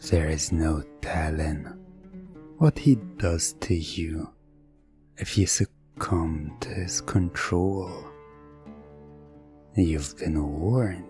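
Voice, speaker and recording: A man narrating a scripted, suspenseful ASMR story in a teasing manner, with a breathy voice. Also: sits low, drawn-out words, pauses often, mellow, faint music behind.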